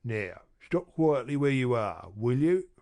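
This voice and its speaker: A woman putting on a gruff menacing robber. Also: very deep voice, big pitch swings, unhurried, a little mellow, pitched below the performer's own voice, full character voice. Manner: gruff, threatening. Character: gruff brutal male robber, surly and menacing.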